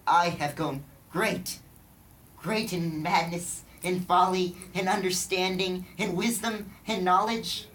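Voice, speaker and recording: A young man playing a weary, broken teacher-king in a theatrical biblical monologue, declaiming with dramatic pauses and emphatic repetition. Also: fairly high pitch, fairly bright tone. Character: weary, broken old teacher-king lamenting life's emptiness. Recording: distant mic, faint background noise.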